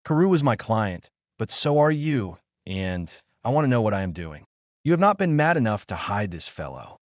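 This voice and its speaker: A man voicing a concerned, grave gentleman lawyer in an audiobook, formal and questioning. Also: on the low side, big pitch swings, moderate pace, no room sound, studio quality. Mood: stern, urgent. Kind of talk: dramatic audiobook character reading.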